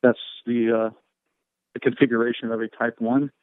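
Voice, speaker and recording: A man with an even, unanimated delivery briefs reporters as a rescue official. He speaks in measured procedural terms and operational jargon, over a dry, narrow, phone-like line. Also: mid-range voice, clean tone, no room sound. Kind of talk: conference call briefing, question and answer.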